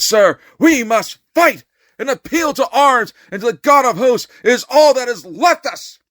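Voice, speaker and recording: A man's voice: high-pitched, a bit bright, no echo, studio quality. A man performing a fervent American revolutionary orator in a dramatic reading, with an impassioned, declamatory, emphatic delivery. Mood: defiant. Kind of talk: dramatic reading of an 18th-century political speech.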